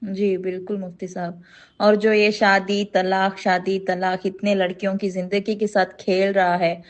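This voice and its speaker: A woman's voice: medium pitch, flat delivery, a little slow. A woman on a recorded phone call, speaking deferentially as she questions and acknowledges the other person. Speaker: caller asking questions.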